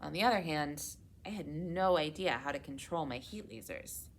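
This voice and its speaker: A woman reading a children's storybook aloud in an expressive, measured way. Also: low voice, a little hiss or hum. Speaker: children's read-aloud reader at a public library.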